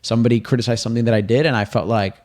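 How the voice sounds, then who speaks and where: Emphatic man, animated. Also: medium pitch. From Puerto Rico. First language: Spanish. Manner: emphatic, animated, quick to laugh. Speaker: podcast co-host. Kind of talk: casual faith-based podcast chat.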